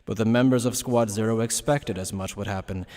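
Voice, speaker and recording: A man's voice: on the low side, steady tone, fairly quick, darkish tone. A man reading scripted dramatic narration for an anime fan dub. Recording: long hall reverb on the voice.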